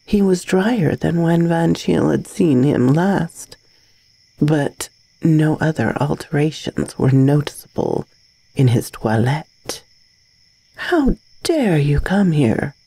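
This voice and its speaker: Woman storyteller, gravelly husky voice, mellow tone, measured dramatic gothic narration. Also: sits low, poor audio. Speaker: storyteller reading a bedtime story. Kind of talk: scripted narration of a gothic tale.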